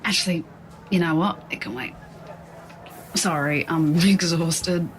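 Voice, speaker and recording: A woman's voice: sits low, measured pace, a little hiss or hum. A woman playing a tired, hesitant restaurant coworker, speaking casually.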